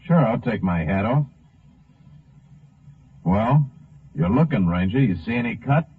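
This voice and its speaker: An actor playing an evasive, insolent mechanic who is accused of robbery in a 1950s radio drama. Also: male voice, mid-range voice, expressive, a little slow, husky. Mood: defiant. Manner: defensive, insolent. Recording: muffled, narrow vintage radio recording.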